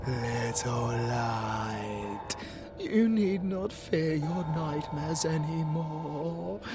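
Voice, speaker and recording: A British man playing a sadistic, gloating monster villain in an audio roleplay, gravelly and theatrical, swinging from hushed taunts to shouts. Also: mid-range voice, exaggerated voice. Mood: hushed menace. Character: sadistic male monster villain, gloating, menacing, hungry for prey.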